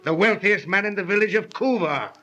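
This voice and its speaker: An actor playing a vengeful, servile cult henchman with deliberate, archaic speech in 1930s radio drama style. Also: high-pitched, expressive, pushed and bright, lo-fi sound. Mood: eager, conniving.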